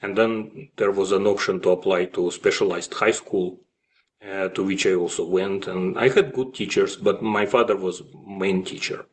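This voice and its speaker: Male interview guest, native Russian speaker with Russian accent, slightly low voice, reflective and halting. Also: fairly even intonation, slight background noise. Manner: halting, with frequent pauses and slight grammatical slips. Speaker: guest, classical violist and music professor.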